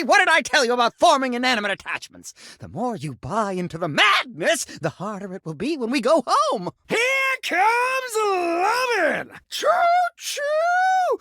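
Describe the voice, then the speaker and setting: A man's voice: very high-pitched, gravelly, sharp tone, no echo, clean studio sound, heavily acted. A male actor voicing an eccentric, frantic talking sink, secretly a cursed man, in a theatrical video game style with melodramatic, pompous declaiming. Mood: doting, manic. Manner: melodramatic, absurdist, swinging between pompous declaiming and shrieks.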